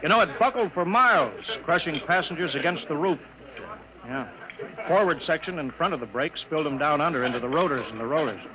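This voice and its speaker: An American actor playing a brisk, authoritative older chief engineer who gives clipped orders, in mid-century radio drama style. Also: male voice, a little high, animated, a crowd in the background, close to an everyday voice, rough recording. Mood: confident, explanatory. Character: commanding male chief engineer, brisk, hard-driving, older. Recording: old radio broadcast with background sounds.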